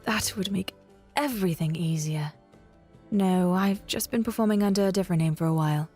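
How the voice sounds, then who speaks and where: A woman playing a guarded, wistful bard in an audio drama, speaking gently and in measured phrases. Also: fairly low pitch, soft background music, lightly acted. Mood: wistful, candid.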